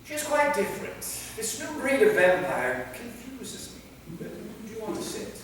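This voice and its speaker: A man's voice: high voice, sing-song, normal speed, a bit bright. A man playing an anxious, awkward centuries-old vampire novelist in a staged play reading, hesitant and self-effacing. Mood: eager, nervous. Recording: echoey hall, distant mic, faint noise.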